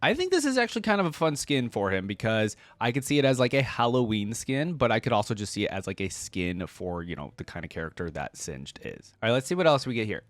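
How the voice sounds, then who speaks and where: Animated man giving casual reactions. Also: medium pitch, quick pace, few pauses, no echo. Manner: animated, expressive, exclaims often. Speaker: reaction video host.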